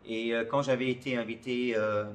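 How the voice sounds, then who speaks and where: A man talks casually in a question-and-answer vlog. His voice sits at an ordinary male pitch. He feels his way through sentences, pausing on fillers and repeating words as he searches for the next one. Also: little pitch movement, a little hiss or hum. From Côte d'Ivoire. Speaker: comedy video creator.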